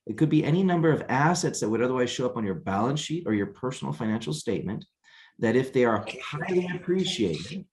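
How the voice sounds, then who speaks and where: A man hosting a weekly video call, talking in a relaxed, halting way and often repeating words. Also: medium pitch. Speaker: host.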